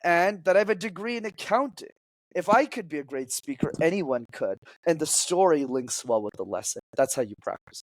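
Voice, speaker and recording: A young man who grew up speaking French, explaining storytelling as a public speaking coach in an energetic, teacherly way as a podcast guest. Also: on the high side, fairly quick, no echo, poor audio.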